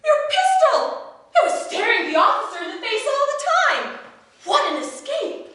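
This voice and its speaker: Female stage role: actress as haughty, spirited young lady, high and swooping delivery, exclamatory period comedy in an echoing theater. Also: very animated, moderate pace, fairly bright tone, heavily acted. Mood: urgent, gracious. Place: indoor stage in an arts center, recorded from afar. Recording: distant, very echoey theater recording, faint noise.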